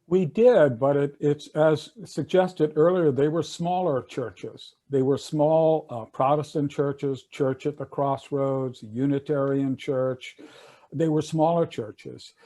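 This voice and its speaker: A man's voice: fairly high pitch, steady tone, slow, warm tone. An older man on a video call, casually recounting legal history with many fillers and restarts. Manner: conversational, loose with fillers and restarts. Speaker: attorney and panelist.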